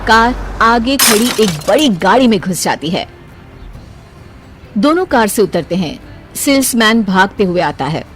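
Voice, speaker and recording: Expressive woman narrating a story. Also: medium pitch, measured pace, soft background music.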